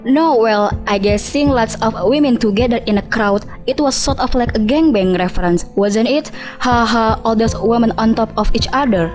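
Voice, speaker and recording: Woman playing hesitant, offended junior employee, higher voice. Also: moderate pace, few pauses, a little mellow, soft background music, natural voice. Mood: hesitant, explaining. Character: offended junior female employee giving testimony, hesitant, informal. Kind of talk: radio drama acting.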